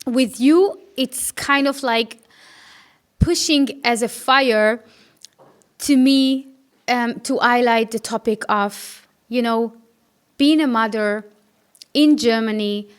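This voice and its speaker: A woman's voice: fairly high pitch, takes it slowly, pauses often, smooth. A woman from Israel hosting a talk show, chatting eagerly with her guest and often restarting her sentences. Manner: enthusiastic, with frequent restarts and fillers. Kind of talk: casual interview chat.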